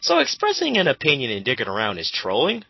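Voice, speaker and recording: A man's voice: mid-range voice, huge pitch swings, quick pace, vocal fry, bright, forceful tone, breathy edge, no echo. A man chatting casually as a co-host, blunt, argumentative and foul-mouthed as he comments on online arguments.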